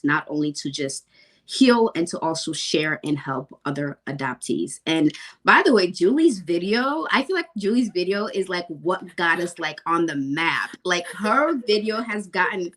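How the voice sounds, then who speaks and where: Young woman host, lower pitch, eager and chatty. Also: moderate pace, fairly bright tone. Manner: enthusiastic, many fillers and restarts. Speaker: podcast host. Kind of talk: casual podcast hosting.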